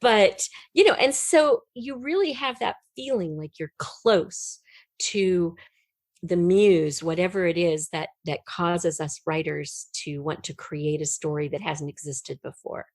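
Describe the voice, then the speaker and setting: A woman's voice: fairly low pitch, a little slow, darkish tone, no room sound. A middle-aged American woman telling stories enthusiastically as a guest in a conversational interview. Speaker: writer and podcast guest.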